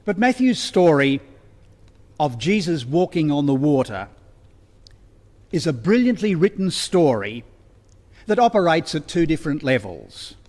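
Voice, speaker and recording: A man preaching a sermon as a minister, deliberate and pausing between phrases. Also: fairly high pitch, very animated, a little mellow. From Australia. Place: church, livestreamed service. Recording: long hall reverberation.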